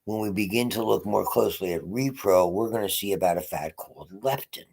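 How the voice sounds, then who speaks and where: Low gravelly congested male lecturer. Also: darkish tone. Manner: halting, repeats words, restarts phrases. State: congested, clearing his throat. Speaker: physiology lecturer. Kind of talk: unscripted lecture. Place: at home.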